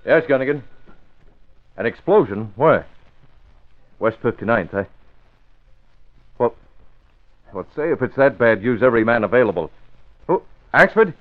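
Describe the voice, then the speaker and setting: Man playing a brisk newspaper boss, tinny sound. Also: medium pitch, expressive, lightly acted. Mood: brisk, urgent. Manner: brisk, businesslike, decisive. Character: brisk, authoritative male newspaper publisher. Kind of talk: old-time radio drama acting. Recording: tinny vintage radio recording.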